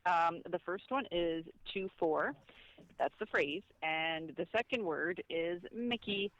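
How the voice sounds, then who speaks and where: A woman's voice: fairly low pitch, slow, fairly bright tone. Dull lo-fi voicemail, woman. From Canada. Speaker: voicemail caller. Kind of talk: casual voicemail message.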